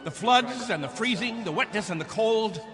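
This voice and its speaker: An actor playing a desperate, controlling captain of a stranded colony in a radio drama, preaching with emphatic fervor. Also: male voice, high-pitched, expressive, normal speed, long reverb tail, music in the background. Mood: desperate, pleading.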